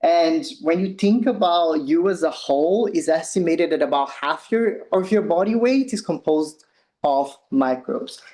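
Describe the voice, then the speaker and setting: A young man from Brazil with a Brazilian Portuguese accent, giving a relaxed science talk as a postdoctoral researcher, with ums and slightly loose non-native phrasing. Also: on the high side, a little slow. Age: young adult. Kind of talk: informal science presentation.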